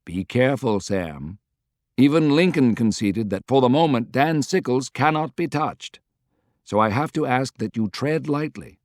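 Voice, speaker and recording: A man's voice: medium pitch, expressive, a little slow, darkish tone, no room sound, studio quality, pitched above the performer's own voice, lightly acted. A man voicing a loyal, good-humored adviser in an audiobook, briefing frankly and earnestly. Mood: confiding.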